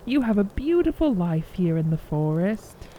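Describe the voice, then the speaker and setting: A woman voicing gentle, encouraging sunlight and forest air in a children's storybook, soothing in manner. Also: mid-range voice, very animated, moderate pace, a little hiss or hum, natural voice, poor audio. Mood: gentle encouragement.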